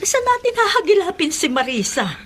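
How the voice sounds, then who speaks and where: A woman's voice: extremely high pitch, very animated, brisk, a bit bright, full character voice. A woman playing a fretful, scolding middle-aged mother in a Filipino radio drama, anxious and chiding.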